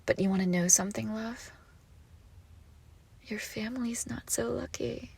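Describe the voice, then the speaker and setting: Woman acting a slow, possessive mafia princess ex. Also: on the high side, gravelly, a little mellow, slightly rough, full character voice. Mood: cold, threatening. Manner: slow, deliberate, possessive, swinging between whispers and outbursts. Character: obsessive possessive crime-boss ex-girlfriend, icy, menacing, unhinged. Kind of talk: intimate dramatic audio roleplay monologue.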